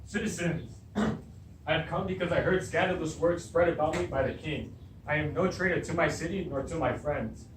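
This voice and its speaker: A man's voice: on the high side, normal speed, over a noisy crowd, lightly acted. Young man acting an earnest nobleman, echoey room. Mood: earnest, defensive. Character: loyal, reasonable nobleman defending his honor. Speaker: drama student. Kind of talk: beginner stage readers' theatre. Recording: roomy, distant mic, clear noise.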